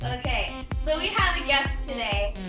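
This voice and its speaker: A woman's voice: sits high, lively intonation, moderate pace, music in the background. A woman hosting a casual homemade talk show and interviewing a guest, recorded from a distance in a roomy space.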